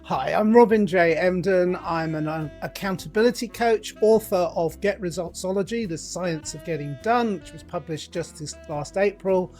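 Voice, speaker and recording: A middle-aged man from England with a British accent, a coach telling his story as a guest in a conversational interview, animated and playing out his own quoted thoughts. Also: sits high, moderate pace, hardly any pauses, soft background music. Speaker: guest, accountability coach and author.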